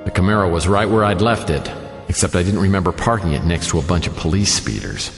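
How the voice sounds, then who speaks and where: An actor playing a wry, cocky private detective who narrates a noir radio drama in a deadpan, self-assured way. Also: male voice, deep voice, quick pace. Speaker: detective narrator and lead character. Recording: echoey long reverb over clear music.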